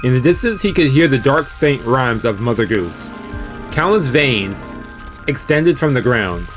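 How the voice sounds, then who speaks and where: A man's voice: mid-range voice, expressive, unhurried. A man live-streaming a read-aloud of a visual novel, stumbling over words now and then and dropping into casual asides. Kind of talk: live let's-read narration with casual reactions. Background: game music.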